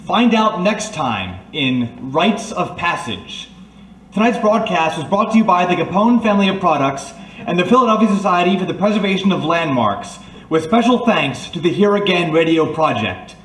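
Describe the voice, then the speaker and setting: A man's voice: high voice, animated, moderate pace, a bit bright. A man delivering scripted old-time radio announcing in a dramatic, formal manner. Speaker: announcer and narrator. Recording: roomy, slightly distant.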